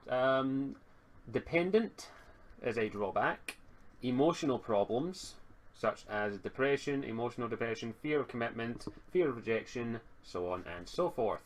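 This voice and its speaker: A man acting as game master, casually explaining tabletop game rules and reading items haltingly from a rulebook. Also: medium pitch, animated, a little hiss or hum, poor audio.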